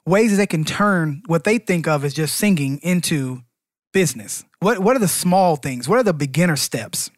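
A man with a moderately high voice co-hosts an informal interview. He sets up questions for his guest in a conversational style. The sound is close and dry, with very little room echo. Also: animated, moderate pace. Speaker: interviewer and co-host.

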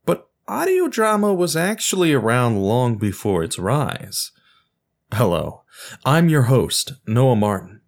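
A man's voice: medium pitch, very animated, slow pace. A man hosting a podcast, reading scripted narration in an explanatory, presentational way. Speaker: podcast host.

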